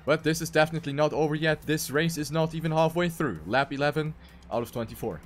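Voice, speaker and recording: A man's voice: a little high, fast talker, soft background music. A man doing live esports commentary on a racing game, with dramatic, emphatic phrasing.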